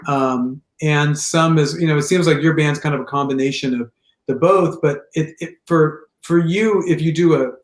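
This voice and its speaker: A man co-hosting a podcast, who says he has a big voice all the time and talks loudly. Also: a little high, moderate pace. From Italian heritage. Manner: loud, big-voiced talker. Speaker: podcast co-host. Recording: roomy echo.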